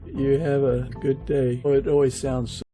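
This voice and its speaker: A man's voice: mid-range voice, flat delivery, normal speed, dark tone, faint music behind, rough recording. A man speaking informally and earnestly as the host of an interview podcast. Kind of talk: casual interview and informal prayer.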